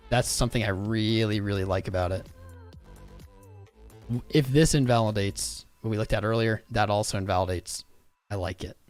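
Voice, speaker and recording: Casual male streamer, middle pitch, slangy and rambling, voice worn thin, soft music playing in the background. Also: no room sound. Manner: rambling, slangy, talks straight to chat. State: losing his voice. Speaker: crypto livestream host. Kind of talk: casual livestream chat.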